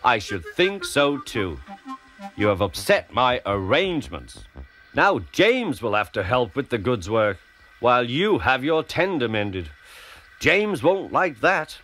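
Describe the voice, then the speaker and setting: A man playing a stern, pompous railway boss in a children's story, scolding in a measured way. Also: mid-range voice, very animated, a bit bright, faint music behind. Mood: stern, disappointed. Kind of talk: dramatised children's storybook reading.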